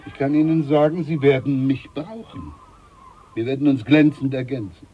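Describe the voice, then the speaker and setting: A man's voice: mid-range voice, normal speed, husky, lo-fi sound, close to an everyday voice. A man playing a jovial, scheming older ship's doctor in a German radio play, pompous, overfamiliar and emphatic. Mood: confiding, self-important.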